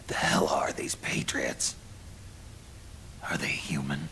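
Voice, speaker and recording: An actor playing a grizzled, wary older soldier in a game cutscene, growling tersely in a gravelly whisper. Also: male voice, a bass voice, flat delivery, slow pace, bright, forceful tone, full character voice. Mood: wary, probing. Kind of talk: video game cutscene acting.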